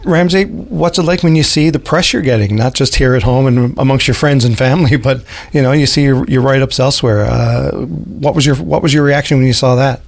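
A man's voice: medium pitch, fairly quick, vocal fry, hardly any pauses. A man hosting a morning radio show, asking friendly chatty questions in an interview.

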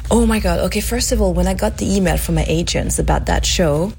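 A woman whose first language is French, chatting as an actress guest in an interview, lively and laughing often. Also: fairly low pitch, a little fast, gravelly, husky. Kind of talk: casual interview chat.

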